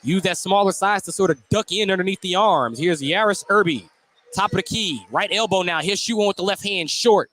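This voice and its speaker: A man's voice: high voice, a little fast, a bit bright, slight background noise. A man doing live radio play-by-play commentary of a basketball game as the announcer.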